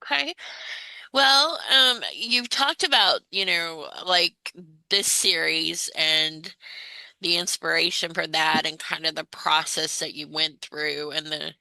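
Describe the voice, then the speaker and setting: A woman's voice: low voice, steady tone, slow, vocal fry, pushed and bright, no room sound, low-quality recording. A woman interviewer asking casual questions, tentatively rephrasing them as she goes.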